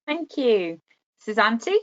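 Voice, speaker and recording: A woman's voice: fairly high pitch, very animated, slow pace. A woman, a career consultant, moderating an online workshop in an upbeat, friendly way with many fillers. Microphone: video call. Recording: dull lo-fi video call audio.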